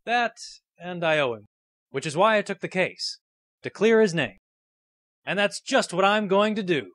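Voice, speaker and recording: A man's voice: high voice, animated, normal speed, a bit bright, dry recording, clean studio sound. A man acting a nervous, earnest young rookie defense lawyer in a game fandub, a little hesitant. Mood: wry, earnest.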